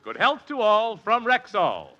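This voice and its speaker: High-pitched sponsor announcer man. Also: huge pitch swings, measured pace, loud music behind the voice. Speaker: commercial announcer. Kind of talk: 1940s radio sponsor announcement. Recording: dull radio recording with crowd.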